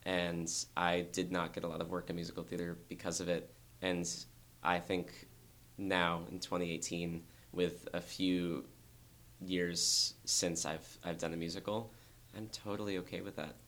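A young man, a dancer, talking earnestly and thoughtfully in an interview, with a very low voice and frequent restarts. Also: level tone, measured pace, fairly bright tone. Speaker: dancer guest. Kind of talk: reflective interview talk.

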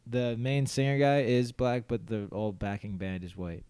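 A man's voice: mid-range voice, moderate pace, dark tone, clear, resonant tone, no echo. A young man co-hosting a casual podcast, telling rambling stories full of fillers and restarts, laughing often and acting out his own thoughts. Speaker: podcast co-host. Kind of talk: casual chat.

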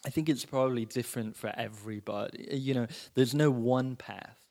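A man's voice: mid-range voice, darkish tone. A man in his thirties who grew up in Italy, talking casually as an interview guest, thoughtful and full of hedges. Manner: thoughtful, hedging with "you know" and "like". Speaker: guest screenwriter.

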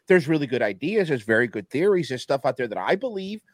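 Animated man with a lively mocking voice. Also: medium pitch, fast, dry recording, studio quality. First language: Spanish. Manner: animated, swings into mocking voices, laughs often. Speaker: podcast guest. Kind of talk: casual political chat.

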